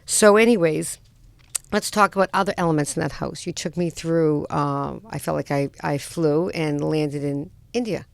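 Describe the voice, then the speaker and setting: A woman's voice: low-pitched, steady tone, normal speed, gravelly, no echo. A woman hosting a radio talk show, chatty and enthusiastic, teasing her guests in casual talk.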